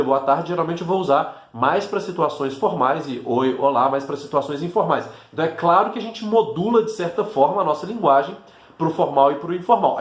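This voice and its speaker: A Brazilian man with a Minas Gerais accent gives a relaxed video language lesson. He talks in a chatty way, with fillers and restarts. His voice sits a little high and rings in an echoey room. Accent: Brazilian Portuguese, Minas Gerais. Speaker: Italian teacher.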